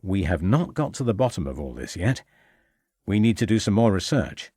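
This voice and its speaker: Male reader as storybook detective inspector: man, brisk, formal, in command, lively intonation, dry close recording. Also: sits low, breathy edge, dry recording, full character voice. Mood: businesslike. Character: brisk, authoritative Victorian police inspector, male.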